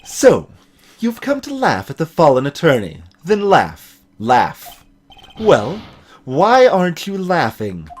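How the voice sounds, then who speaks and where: A man's voice: a little high, wildly swooping pitch, slow, full character voice. A man voicing a proud, bitter male attorney for a video game, haughty, clipped and sardonic. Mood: bitter, taunting.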